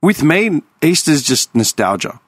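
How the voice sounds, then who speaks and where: A man's voice: fairly high pitch, very animated, measured pace, no room sound. A man in his thirties with an Australian accent, talking bluntly and reflectively in casual chat, swearing freely. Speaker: podcast co-host.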